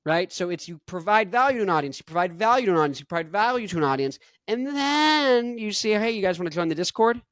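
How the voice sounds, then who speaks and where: A young man hosting his own podcast in an energetic, rambling monologue full of "like". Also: high voice, fast, hardly any pauses, dry recording. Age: young adult. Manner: rambling, energetic, heavy use of "like". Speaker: podcast host.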